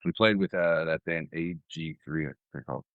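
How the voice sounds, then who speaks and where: A man's voice: a bass voice, a little fast, no room sound, narrow, tinny audio. A man from a band chatting casually as an interview guest about being on the road. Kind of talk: casual chat.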